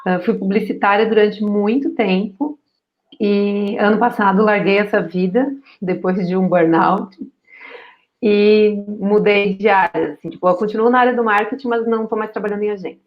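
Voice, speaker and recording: A woman in her forties who works in marketing, speaking relaxed in a webinar conversation. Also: medium pitch, moderate pace. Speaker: guest, marketing professional. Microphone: video call. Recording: slightly dull video call audio.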